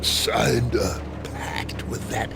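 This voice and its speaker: A man acting as a weary, wry old blind pirate turned fishman in a video game, with a gravelly, breathy voice and drawn-out theatrical delivery. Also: fairly low pitch, fairly even intonation, background noise, heavily acted. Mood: grave, bitter.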